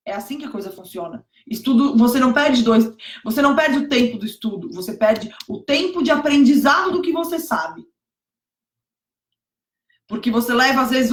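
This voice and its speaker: A hoarse woman teaching exam preparation on a live stream, emphatic, repeating key points and checking that viewers follow. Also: sits high. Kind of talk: motivational live stream talk. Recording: echoey room.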